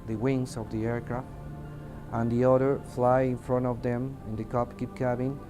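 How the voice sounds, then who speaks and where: A man with a Mexican accent, a native Spanish speaker, giving testimony in an interview and haltingly searching for words in his second language. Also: medium pitch, flat delivery, music in the background. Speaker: air traffic controller giving testimony. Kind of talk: interview testimony, unscripted recounting.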